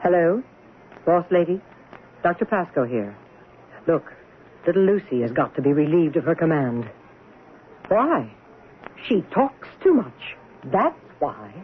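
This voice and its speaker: Scheming, domineering doctor; radio drama, swooping high male voice, clinical and cutting. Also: sing-song, measured pace, long pauses. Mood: conspiratorial. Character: domineering psychiatrist, coolly belittling, secretly scheming. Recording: tinny old radio broadcast, faint hiss.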